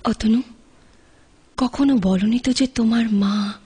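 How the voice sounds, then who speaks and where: A woman's voice: on the high side, takes it slowly, warm tone, husky, heavily acted. A woman playing a tender young female student in love in an audio play, speaking earnestly and expressively. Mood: tender, consoling. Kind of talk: Bengali audio play acting with monologue.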